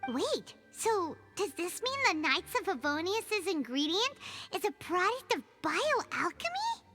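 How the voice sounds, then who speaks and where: A woman voicing a small, excitable, nosy fairy companion in a video game, with a shrill childlike voice and exclamatory delivery. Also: a little slow, faint music behind, exaggerated voice. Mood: puzzled. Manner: speaks of self in third person, exclamatory. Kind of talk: video game character dialogue.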